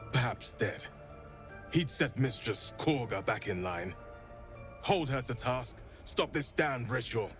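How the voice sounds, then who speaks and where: Earnest, worried druid, very expressive. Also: male voice, mid-range voice. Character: worried, earnest male druid loyal to absent master. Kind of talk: dramatic video game acting.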